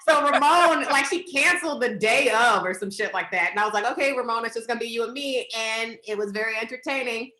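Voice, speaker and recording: A woman's voice: a little high, brisk, sharp tone, echoey room. A woman hosting a podcast over a video call, blunt and laughing often with a lot of swearing. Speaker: podcast host.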